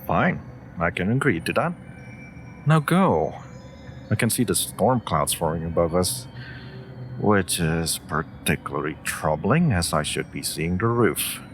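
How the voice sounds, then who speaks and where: A low-voiced, haughty wolf familiar acted by a man in a fantasy audio drama. He talks slowly and dryly, with smug, sharp-tongued bite and expressive swings of pitch. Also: faint music behind. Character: dry, haughty, clever male wolf familiar of a mage. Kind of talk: scripted audio roleplay acting.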